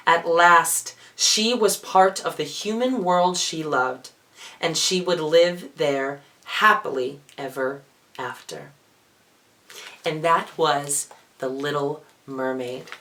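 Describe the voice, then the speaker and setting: A man performing as a drag queen, reading a children's storybook in a theatrical, expressive way with playful asides. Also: on the high side.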